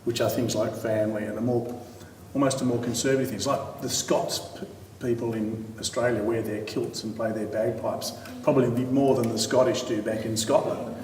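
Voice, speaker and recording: A man moderating a panel discussion, asking thoughtful, measured questions. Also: medium pitch, fairly even intonation, a little mellow, a little hiss or hum. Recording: echoey hall, distant mic.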